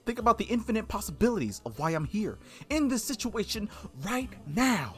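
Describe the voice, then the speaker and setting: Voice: mid-range voice, big pitch swings, brisk, a bit bright. A let's play streamer reading a visual novel aloud, with casual, jokey asides and stumbles over words. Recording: music behind the voice. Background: background music.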